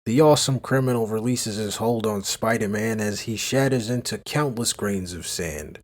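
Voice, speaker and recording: A man's voice: on the low side, moderate pace, background noise, natural voice. A man reading dramatic scripted narration for a comic book adventure.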